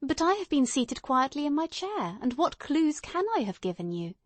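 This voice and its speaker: Woman as earnest male companion, audiobook dialogue, raised pitch, formal, emphatic, easily astonished. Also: sing-song, normal speed, no echo. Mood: puzzled, intrigued.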